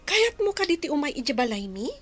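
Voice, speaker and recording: A woman's voice: high voice, big pitch swings, quick pace, faint music behind. A woman playing a friendly, modest young co-worker with a welcoming way, in an Ilocano audio drama. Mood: friendly, inviting.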